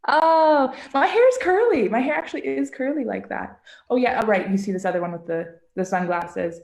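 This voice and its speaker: A woman children's book author talking casually and interactively to children on a video call, expressive and encouraging, often asking questions. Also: on the high side, normal speed, creaky. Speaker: children's book author giving a read-aloud.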